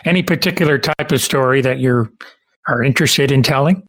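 A man's voice: medium pitch, a little fast, a bit bright. A man interviewing a guest in a casual, informal style, feeling his way through his questions.

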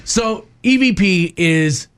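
A male radio co-host with a voice a bit higher than average. He interviews guests casually and conversationally. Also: animated, slow pace, clear, resonant tone.

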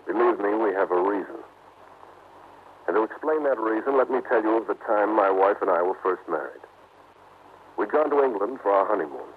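An actor playing a suave, calm gentleman detective in an old radio mystery, polished, measured and dryly humorous, on a tinny, noisy recording. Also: deep voice, slightly rough. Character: suave, calm American gentleman detective, bantering newlywed husband. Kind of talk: old-time radio mystery acting and narration.

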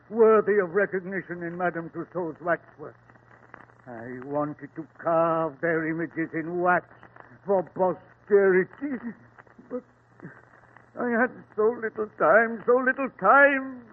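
An old wax artist killer, high voice, slow and grand, tinny old radio. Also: male voice. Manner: grandiose, self-pitying, theatrical. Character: old male wax sculptor, a proud murderer. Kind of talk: 1940s radio acting.

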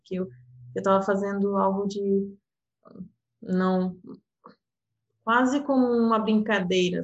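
European Portuguese woman, interpreter, chatty and self-reflective, voice sitting a bit high. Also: lo-fi sound. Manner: personal, animated, laughs often, fillers like "sabe?". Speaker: interpreter and guest. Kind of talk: reflective casual conversation.

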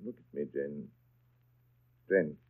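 An actor playing a brooding, imperious master of the house in a 1930s radio drama, speaking in an abrupt, commanding, theatrical manner. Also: male voice, extremely low pitch, slow, full character voice. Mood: guarded, intent. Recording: tinny band-limited 1930s radio broadcast.

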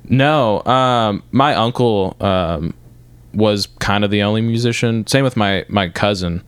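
A man's voice: lowish voice, unhurried, smooth, no echo. A young man from Michigan, a guest musician, telling stories in a casual interview and rambling through restarts and fillers. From Michigan, north of Detroit.

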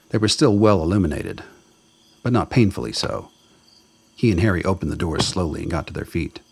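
A man's voice: sits low, husky. A man reading scripted narration for a radio drama.

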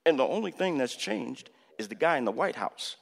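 An actor in a radio play voicing a measured, exasperated president who lectures in halting, emphatic phrases. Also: male voice, medium pitch, very animated, vocal fry. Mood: insistent. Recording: long hall-like reverb.

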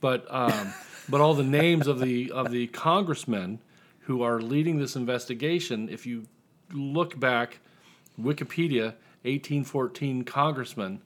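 A man's voice: mid-range voice, measured pace. A man chatting casually as an author guest in an interview, telling his story in a wry, dry way.

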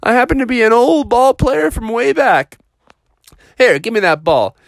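A grand genie of the lamp, performed by a man telling a story, with wide swings in pitch. He is obliging and friendly, proclaiming things in a close, dry sound. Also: big pitch swings, normal speed, dry recording, full character voice.

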